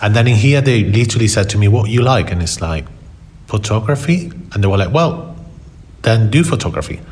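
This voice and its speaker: A man from Spain with a Spanish accent, telling personal stories in conversation in a halting way, repeating words for emphasis. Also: on the low side, animated, a little mellow, slight background noise. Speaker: interview guest, photographer.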